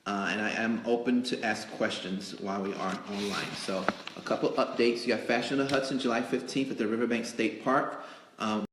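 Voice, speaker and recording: Low-voiced man hosting in an echoey room. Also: steady tone, background noise. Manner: chatty, rambling, halting, filling time. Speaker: magazine editor hosting a live interview show. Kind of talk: casual improvised livestream hosting. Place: indoors in a cake studio. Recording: roomy, echoing live stream indoors.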